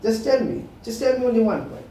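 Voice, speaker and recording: A man's voice: extremely high pitch, expressive, fast, warm tone. A man, co-hosting a podcast in casual chat, earnest and lecturing, full of "uh" and "you know". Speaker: podcast co-host. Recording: echoey room, far from the mic, faint hiss.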